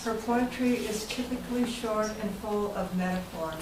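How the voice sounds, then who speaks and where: A woman's voice: mid-range voice, moderate pace. Woman reading aloud in an echoey room. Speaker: guest reader at club meeting. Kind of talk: prepared reading of literary introduction and poem. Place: indoor meeting room. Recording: echoey room, distant mic, background noise.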